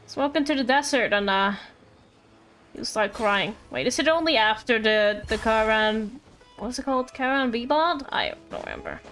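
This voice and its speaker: Female game streamer: high voice, playful rambling chat, faint game music underneath. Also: sing-song, moderate pace, a bit bright. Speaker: game livestreamer. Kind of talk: casual live game commentary. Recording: livestream with game music behind.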